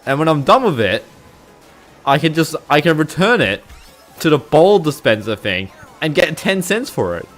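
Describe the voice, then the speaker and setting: A man's voice: on the high side, sing-song, normal speed, fairly bright tone, faint music behind. Chatty young Australian man streaming. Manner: rambling, chuckles often, lots of fillers. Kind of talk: casual chat with livestream viewers.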